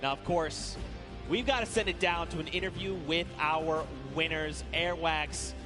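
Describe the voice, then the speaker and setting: Male esports caster, hoarse, high-pitched, excitable, music behind, arena. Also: measured pace. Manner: excitable, often shouting over the crowd. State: losing his voice. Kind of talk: live esports commentary. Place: large arena.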